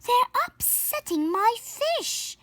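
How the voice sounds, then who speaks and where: A woman voicing a fussy, quiet-loving female neighbour in a picture book, with prim, drawn-out phrasing. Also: very high voice, big pitch swings, no echo, voice pushed up, exaggerated voice. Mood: complaining.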